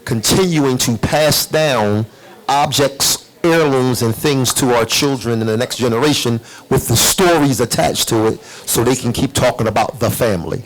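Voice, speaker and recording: A man's voice: medium pitch, normal speed, low-quality recording. A man taking part in a panel discussion, talking casually and reflectively. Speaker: panel participant.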